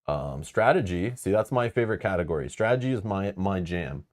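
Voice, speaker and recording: A man with a notably deep voice chats loosely to his viewers, wandering between thoughts and padding with fillers. His tone stays casual and frank. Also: lively intonation, brisk. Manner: rambling, filler-heavy, frank. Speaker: board game channel host. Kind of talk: casual live-stream chat.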